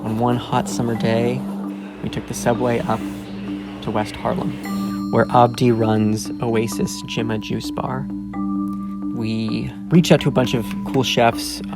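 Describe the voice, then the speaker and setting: A man from the American South hosting and narrating a food documentary in a measured, earnest way. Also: medium pitch, level tone, vocal fry. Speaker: host and narrator. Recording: narration under loud music.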